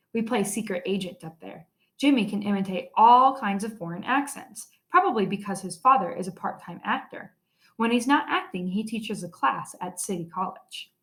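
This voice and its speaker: A woman's voice: mid-range voice, a bit bright. A woman teacher reading a children's story aloud expressively, stressing key words.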